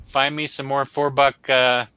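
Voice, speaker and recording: A man's voice: medium pitch, level tone, measured pace, pushed and bright, clean tone, no echo. A man hosting a comic book review show in casual livestream chat, rambling along in a self-deprecating way.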